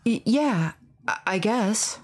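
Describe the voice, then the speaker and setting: A woman voicing a reluctant, skeptical high school boy in a horror video game. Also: animated, slow pace, a crowd in the background. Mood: doubtful, subdued.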